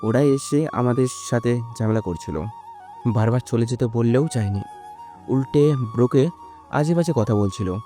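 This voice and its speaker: An actor playing a loyal, earnest younger brother in an audio story. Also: male voice, mid-range voice, normal speed, mellow, soft background music, natural voice. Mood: defensive.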